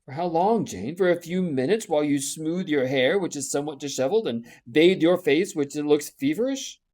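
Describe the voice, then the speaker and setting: A man voicing a stormy impassioned gentleman. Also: a little high, moderate pace, pitched above the performer's own voice, natural voice. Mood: pleading. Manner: impassioned, pleading, rising to loud outbursts. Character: passionate middle-aged gentleman, stormy and pleading. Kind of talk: audiobook character reading.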